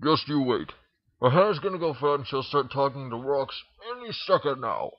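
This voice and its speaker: A man's voice: mid-range voice, lively intonation, normal speed, highs cut off, natural voice. A man voicing a brash, impatient young female pegasus pony, blunt and exasperated, in a story reading. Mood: dark muttering.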